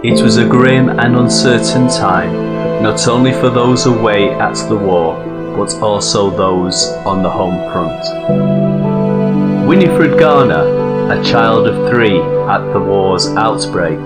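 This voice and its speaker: Man, scripted narration, very slow pace, guitar music underneath. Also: on the low side. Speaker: host and narrator. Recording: music playing behind. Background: plucked guitar music.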